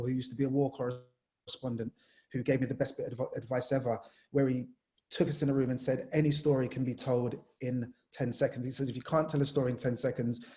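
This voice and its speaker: Jamaica-born young male sports journalist, warm mellow voice, animated, loose chatty delivery. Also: medium pitch. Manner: animated storyteller, many fillers. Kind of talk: casual panel talk.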